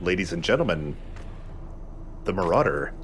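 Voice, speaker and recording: A man's voice: low-pitched, very animated, normal speed, slight background noise. A man commentating a video game casually, explaining things with mock-announcer flourishes. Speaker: let's play host and gamer.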